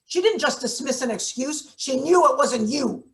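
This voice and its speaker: An actress playing a bitter, paranoid, scheming maintenance worker in a play reading, halting and sardonic. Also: female voice, high voice, expressive, vocal fry. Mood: suspicious, bitter. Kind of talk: live table read of a satirical stage play.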